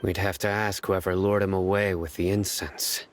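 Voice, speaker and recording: An actor playing a stern, stoic young lawman in a video game, speaking in a terse, measured, deadpan way. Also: low-pitched, close to an everyday voice. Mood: analytical, calm.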